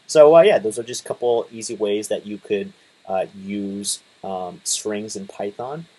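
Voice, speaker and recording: A man in his twenties casually talking through a coding tutorial, relaxed, with lots of fillers and restarts. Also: lowish voice, unhurried, low-quality recording. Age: 20s. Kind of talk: casual screen-share tutorial.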